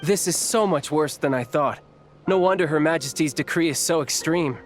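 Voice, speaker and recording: An actor playing an excitable, cheeky young warrior in a video game, with an expressive, boyish delivery. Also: male voice, on the high side, normal speed, natural voice. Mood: businesslike. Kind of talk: English video game voice acting. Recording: game music behind the voice.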